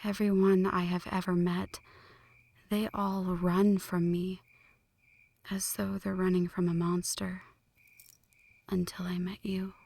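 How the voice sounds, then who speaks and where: A woman playing an ancient, lovestruck vampire in a slow, breathy whispered ASMR roleplay, intimate and solemn, close to the microphone. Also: lowish voice, flat delivery, darkish tone, no room sound, exaggerated voice. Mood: wistful, intense. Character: ancient flirty female vampire, brooding, lovestruck, world-weary. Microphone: close microphone, dry.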